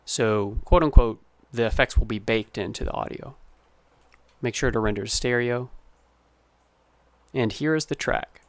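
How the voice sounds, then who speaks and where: A man's voice: mid-range voice, a little slow, long pauses, no room sound. A man giving an informal tutorial as a sound designer, explaining his process step by step. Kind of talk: informal screen-recorded tutorial narration.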